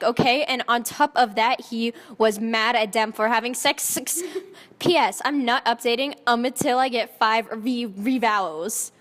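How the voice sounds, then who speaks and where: A woman's voice: a little high, a bit bright. A woman narrating fan fiction in a mock-dramatic style, often close to giggling at the text. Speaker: narrator and reader. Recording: long echoing reverb.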